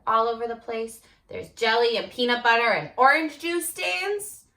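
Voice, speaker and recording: A woman's voice: on the high side, fairly bright tone, reverberant. A woman teacher doing an interactive read-aloud for children, prompting them with questions and encouraging them.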